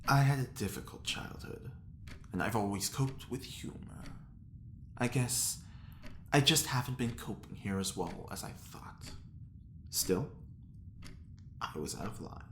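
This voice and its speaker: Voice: mid-range voice, lively intonation, unhurried, pauses often, a bit bright. A woman playing a flippant, grandiose art-forger convict with wry mock politeness in a sci-fi comedy audio drama. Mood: contrite. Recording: roomy echo, faint background noise.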